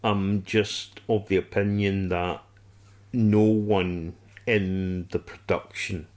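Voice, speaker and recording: A man's voice: deep voice, fairly even intonation, slow pace, a little mellow. A man co-hosting a podcast, giving insistent opinions in a casual discussion of a television series. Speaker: podcast co-host.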